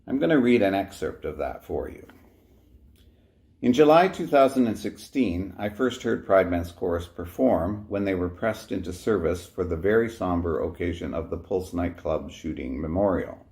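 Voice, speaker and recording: A man's voice: sits low, expressive, normal speed. A man hosting a show, introducing a performance, reading an excerpt aloud and telling a story about a choir member. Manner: warm storytelling, quoting himself and others. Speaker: host.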